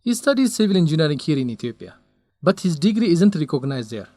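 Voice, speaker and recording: A man's voice: on the high side, big pitch swings, quick pace, darkish tone, no room sound. An actor playing a young male researcher in a radio drama, lovesick and stubborn, speaking in an earnest, defensive way.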